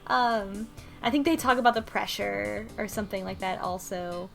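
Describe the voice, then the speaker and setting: A woman's voice: medium pitch, steady tone, moderate pace, gravelly, faint music behind. A woman hosting a book club podcast, casually recapping and discussing a story in a conversational way.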